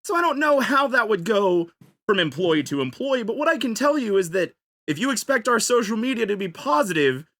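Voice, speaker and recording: A podcast panelist giving an improvised comic pitch, playfully salesmanlike and fond of rhetorical questions. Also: very animated, a little fast, a bit bright.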